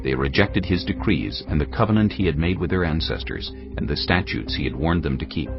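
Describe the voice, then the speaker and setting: Male narrator, extremely deep voice, animated intonation, grave scripture reading, dull lo-fi sound, cinematic music behind. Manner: measured, grave storytelling.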